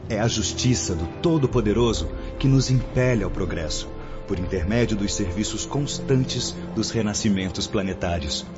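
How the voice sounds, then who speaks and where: An actor playing a charismatic, solemn spiritual teacher in a radio drama, preaching formally and eloquently with a Brazilian accent. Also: male voice, lowish voice, natural voice. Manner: preachy, formal, oratorical delivery. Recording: dull lo-fi audio with background noise.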